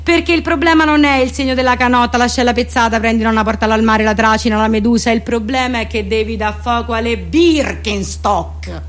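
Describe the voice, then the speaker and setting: A woman with a Roman accent performing a theatrical comic monologue, with deadpan, mock-solemn irony and colloquial turns. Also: on the high side, fairly quick. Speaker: comedian, actress performing her own monologue.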